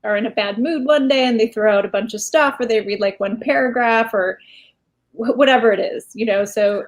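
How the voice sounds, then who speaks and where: A woman with a fairly high voice talks casually as an interview guest. She is a writer who also teaches, and she speaks candidly in an anecdotal way, slipping into quoted speech. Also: brisk. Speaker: guest short story writer and writing teacher.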